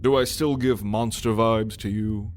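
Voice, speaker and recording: Man as slow-talking, wry dragon turned human. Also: lowish voice, steady tone, a little hiss or hum, natural voice. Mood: wry, playful. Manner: wry, theatrical, unhurried. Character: big old male dragon in new human form, playful, blunt. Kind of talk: ASMR roleplay storytelling.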